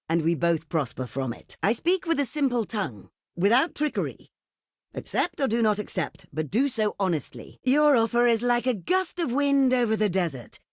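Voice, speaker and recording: An imperious, shrewd queen of a desert realm in a video game. Her voice sits fairly high and moves expressively up and down through formal, theatrical declamation. The recording is very dry and close, with no room around it. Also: no echo. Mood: stern, measured. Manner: regal, formal, measured declamation. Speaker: game character voice actor.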